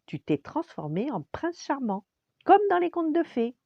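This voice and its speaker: A woman voicing an excited, bossy little princess girl in a storybook tale, gushing and insistent. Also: sits low, a little slow, no echo, highs cut off.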